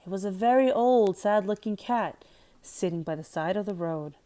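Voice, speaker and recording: A woman's voice: medium pitch, normal speed, warm tone. A woman teacher reading a children's storybook aloud as narrator.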